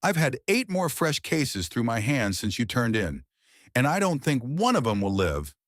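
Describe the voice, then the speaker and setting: A man's voice: mid-range voice, big pitch swings, moderate pace, dry recording, studio quality, voice pushed up, lightly acted. A man as an exhausted, grumbling ship's doctor. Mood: weary. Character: fat, exhausted, apologetic male ship's doctor.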